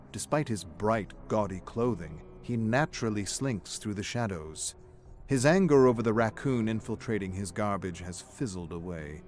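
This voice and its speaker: Man, lowish voice, measured dramatic story narration, theatrical, music underneath.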